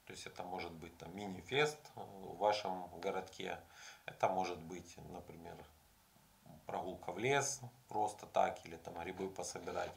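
A man in his forties with a low voice and a gravelly edge explains things casually to a camera set up close in a small room. He talks like he's chatting, stopping often for hesitation sounds while he finds the next example. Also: steady tone, normal speed. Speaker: language learning vlogger. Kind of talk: casual advice talk to camera. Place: small room indoors with curtains.

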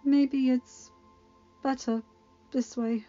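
Woman doing a dying enchantress, young, dry-witted, breathless, raised and loud. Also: sits high, level tone, drawn-out words, lo-fi sound, soft background music, voice pushed up. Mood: strained. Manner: breathless, strained, dryly sardonic.

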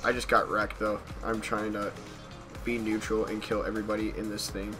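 A young American man giving casual gameplay commentary in an excitable manner, repeating short phrases. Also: lowish voice, normal speed, music in the background. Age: young adult. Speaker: gaming YouTuber. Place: small bedroom at home.

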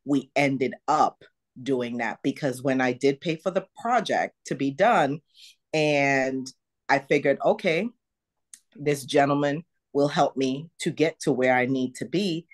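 Woman with a mild Jamaican accent. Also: a little slow. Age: middle-aged. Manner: animated, jumps high to act out her own past words. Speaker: guest. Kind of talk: video call interview, storytelling. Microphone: video call webcam.

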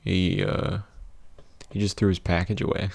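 A young man telling a casual comedic story in a conversational way, with mock-dramatic pauses, close on a studio mic. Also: deep voice, sing-song, vocal fry, a little mellow, slightly rough, dry recording. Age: young adult. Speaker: video host, storyteller. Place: small indoor home studio. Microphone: close studio condenser mic with pop filter.

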